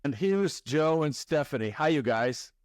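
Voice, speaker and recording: A man's voice: on the high side, lively intonation, unhurried, dry recording, clean studio sound. A man hosting a podcast interview, chatty, often repeating words as he starts a thought. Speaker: podcast host.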